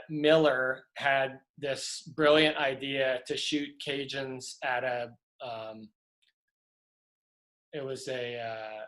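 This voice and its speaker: A middle-aged man from Louisiana tells long, meandering stories in an easygoing interview over a video call. He wanders through his thoughts with frequent fillers and restarts, at a pitch typical for a man. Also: a bit bright. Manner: rambling, many fillers and restarts. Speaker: prop master guest. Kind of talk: relaxed interview storytelling.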